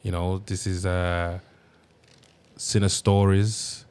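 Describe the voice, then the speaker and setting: A man hosting an interview, asking slow, hesitant questions full of fillers. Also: low voice, level tone, a little mellow, smooth. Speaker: podcast host. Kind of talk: casual interview.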